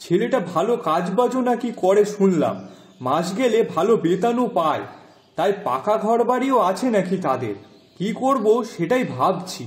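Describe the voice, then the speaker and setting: A man voicing a gentle, worried middle-aged father in a cartoon, reasoning and pleading as a peacemaker. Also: high-pitched, big pitch swings, normal speed. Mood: pondering. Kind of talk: Bengali cartoon voice acting. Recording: roomy echo, music behind the voice.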